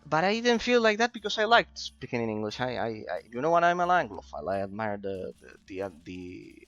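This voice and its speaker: A man's voice: sits low, bright, forceful tone. A man in his late twenties whose first language is Spanish, chatting casually with stream viewers while gaming and often stumbling and repeating words as he starts sentences. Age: 20s.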